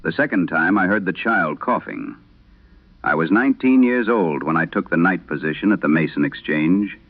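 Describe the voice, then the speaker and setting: A man voicing an eighty-one-year-old former telephone operator recounting her past in a measured, grave, literary way. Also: sits low, no room sound. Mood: grave, confiding. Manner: measured, grave, literary first-person storytelling. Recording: muffled band-limited old radio sound.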